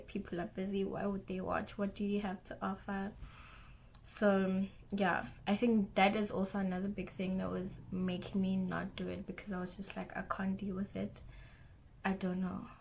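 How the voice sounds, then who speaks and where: A woman's voice: mid-range voice, level tone, normal speed, vocal fry, darkish tone, a little hiss or hum. A young woman with a South African accent, chatting casually and a little nervously in her first vlog. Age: young adult. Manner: nervous, chatty, self-conscious, restarts often. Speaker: vlogger.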